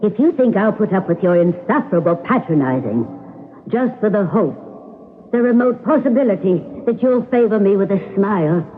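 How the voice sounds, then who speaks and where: An actress playing an aging, poised and sardonic stage star under suspicion in a radio drama, speaking in a theatrical, measured, ladylike manner. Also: medium pitch, expressive, natural voice. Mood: theatrical, yearning. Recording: noisy, narrow-band vintage radio drama recording.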